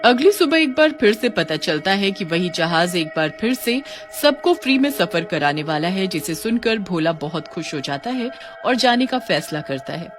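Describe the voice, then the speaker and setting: A woman's voice: lowish voice, very animated, normal speed, few pauses, faint music behind. A woman reading scripted narration for a cartoon story.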